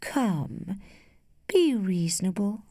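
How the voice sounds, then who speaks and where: A woman voicing a worldly, flirtatious lady who teases with cool indulgence in a measured, studied way, as in a storybook. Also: mid-range voice, big pitch swings, darkish tone, dry recording, clean studio sound, voice pushed up. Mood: gently persuasive. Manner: studied, measured, archly playful.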